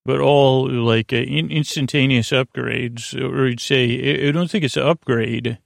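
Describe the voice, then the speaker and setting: A man with a mid-pitched, creaky voice talks as the host of a sleep podcast. He is lulling and halting, circling through tangents and correcting himself as he goes. The sound is dry, with no room around it. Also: fairly even intonation, no room sound. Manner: rambling, halting, frequent tangents and self-corrections; soothing, lulling delivery. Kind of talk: meandering sleep podcast monologue.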